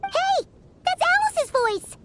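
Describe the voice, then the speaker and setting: A woman voicing a small, excitable childlike fairy companion in a video game, with a squeaky, exclaiming delivery. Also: slow, background noise, full character voice. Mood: curious. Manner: exclaims, speaks of self in third person.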